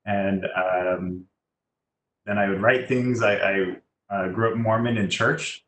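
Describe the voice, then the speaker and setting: A man speaking as a guest, giving a casual, halting, chatty career talk to students. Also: on the low side, a bit bright. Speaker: guest speaker, musician and designer. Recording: echoey room, faint background noise.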